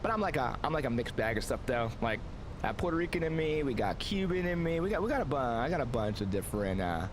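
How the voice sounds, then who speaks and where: A man commentates his flight-sim session in loose, rambling chat full of fillers. His pitch swings up and down as he talks. A steady engine-like rumble sits under the whole recording. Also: fast. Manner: rambling, filler-heavy, excitable. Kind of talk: casual gameplay commentary.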